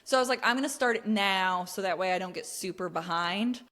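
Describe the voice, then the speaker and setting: A young adult woman co-hosting a casual book podcast, chatty and animated, laughing often as she acts out little quotes. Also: medium pitch, a little fast. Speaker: podcast co-host. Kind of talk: casual book club chat.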